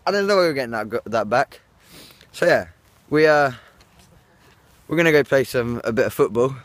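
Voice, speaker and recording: A teenage English boy vlogging outdoors on a phone held at arm's length, chatty and excitable with his friends. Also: medium pitch, moderate pace, no echo. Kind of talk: casual vlog to camera. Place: outdoors in fields on a windy grey day. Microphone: phone camera held at arm's length.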